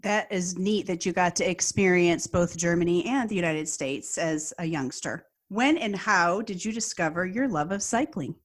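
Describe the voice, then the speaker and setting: A woman's voice: on the low side, moderate pace, few pauses. A woman hosting a podcast, reading an enthusiastic introduction and asking interview questions. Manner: warm, enthusiastic, read-aloud delivery. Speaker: podcast host.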